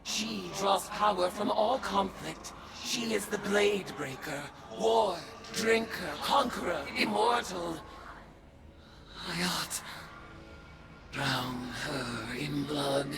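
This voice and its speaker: A woman playing a vengeful, solemn witch turned dark god in a video game, intoning ominous incantations with a gravelly edge. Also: lowish voice, slow, very noisy. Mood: fierce resolve. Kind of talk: dramatic video game acting. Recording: loud music under the voice.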